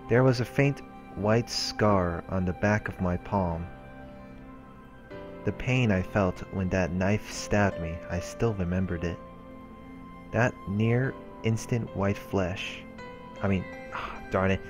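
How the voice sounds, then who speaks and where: A man hosting a let's play, reading a visual novel's narration aloud. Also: deep voice.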